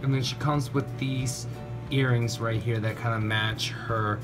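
A man's voice: mid-range voice, fairly even intonation, unhurried, music in the background. A man in a casual unboxing review of toys, speaking off camera with gushing enthusiasm. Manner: enthusiastic, gushing, lots of "really, really". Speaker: toy reviewer. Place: indoors, tabletop setup. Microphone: off-camera narration, camera on hands.

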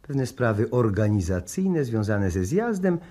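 An actor playing a courteous, guarded Japanese embassy diplomat in a radio play, speaking formally and with measured politeness. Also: male voice, medium pitch, very animated, mellow. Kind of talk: 1960s Polish radio play acting.

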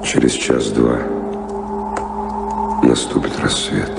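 Актёр радиоспектакля играет усталого бродячего певца, задумчивого и загадочного, с хрипловатым скрипучим голосом. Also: male voice, rumbling low, flat delivery, takes it slowly, over loud music, heavily acted. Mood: melancholy. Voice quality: gravelly. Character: weary wandering singer, a pilgrim, wistful and enigmatic. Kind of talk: radio drama acting. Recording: echoey hall with background noise.

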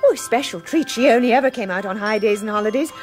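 An actress playing a sentimental, hesitant but gently stubborn English wife in a radio drama. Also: female voice, on the high side, quick pace, faint music behind, close to an everyday voice. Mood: nostalgic. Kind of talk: 1980s British radio drama acting.

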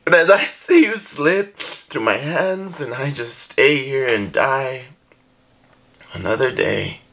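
A man performing a dramatic monologue as a jealous, heartbroken young lover, halting and tearful. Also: mid-range voice, animated, fairly bright tone. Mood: tearful anger. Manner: halting, emotional, breaking into whispers and cries. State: crying. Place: small indoor room.

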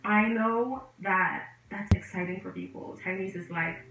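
A woman in her twenties from the Bahamas with a Bahamian accent, chatting to camera in a lively, animated vlog, gesturing as she talks. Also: medium pitch, measured pace, vocal fry, background noise. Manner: lively and animated, gesturing with both hands, chatty and direct to viewers. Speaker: vlogger and medical student. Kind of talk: casual sit-down vlog talking to camera. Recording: echoey room, voice a bit distant, faint music behind.

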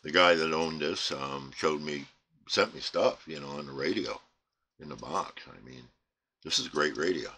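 American guy, super deep voice, casual rambling show and tell, kinda halting, some background noise. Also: sharp tone. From United States. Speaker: CB radio hobbyist presenting his equipment. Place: indoor radio bench crowded with equipment. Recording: clear background noise, room ambience.

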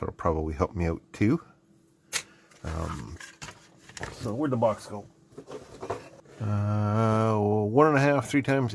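A middle-aged man with a fairly low voice talks casually through an unboxing, rambling a little as he thinks aloud. There is clear noise behind him. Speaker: host. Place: indoor hobby workbench.

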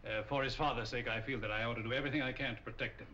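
A dignified older gentleman lawyer acted by a man in a 1940s crime picture. His voice is forceful and bright, his manner formal and protective. The film sound is old, muffled and faintly noisy. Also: medium pitch, fairly even intonation, rapid-fire, natural voice.

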